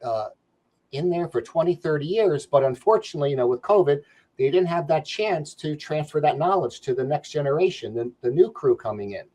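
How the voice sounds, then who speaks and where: A man's voice: on the high side, warm tone, poor audio. New York man, rambling leadership trainer. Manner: rambling, frequent "you know" fillers and restarts. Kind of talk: conversational interview answers.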